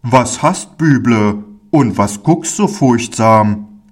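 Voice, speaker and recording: A man as slow-talking, kindly village fellow. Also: mid-range voice, animated, husky. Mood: friendly, curious. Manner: slow, soothing, earnest folksy address. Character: kindly, fatherly village man, gently reassuring and admonishing.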